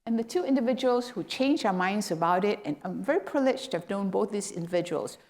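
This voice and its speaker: A woman's voice: mid-range voice, expressive, quick pace, a little mellow. Woman from Malaysia giving a lecture. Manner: explanatory, with emphatic rises on key words. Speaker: guest lecturer. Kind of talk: academic lecture. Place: lecture hall.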